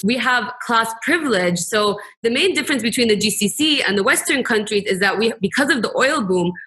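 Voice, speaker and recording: A woman's voice: on the high side, lively intonation, brisk, sharp tone, low-quality recording. A woman from Kuwait speaking as an academic on a panel, explaining ideas and often restating and qualifying her points.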